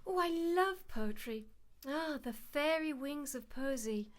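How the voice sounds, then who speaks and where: A woman's voice: sits high, animated, slow pace. An actress playing a naive, easily impressed young lady in a radio play, gushing and flustered in manner.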